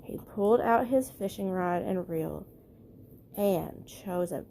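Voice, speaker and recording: A woman's voice: lowish voice, takes it slowly, warm tone, slight background noise. A woman reading a children's storybook aloud at bedtime, as the narrator. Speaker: storybook reader.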